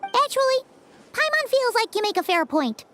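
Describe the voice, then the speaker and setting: Squeaky, very high-pitched little fairy companion. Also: female voice, big pitch swings, normal speed, sharp tone, soft background music, full character voice. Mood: cheeky. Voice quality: squeaky, childlike. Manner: refers to self in third person, exclamatory. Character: small childlike fairy companion, chirpy, blunt, excitable. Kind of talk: anime-style video game voice acting.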